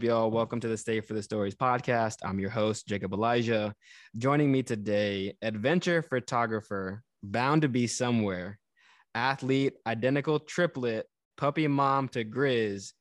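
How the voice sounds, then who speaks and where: A man from Texas, hosting a podcast in a relaxed, friendly way. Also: mid-range voice, measured pace, no room sound, clean studio sound. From Texas, USA. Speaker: podcast host. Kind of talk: casual interview.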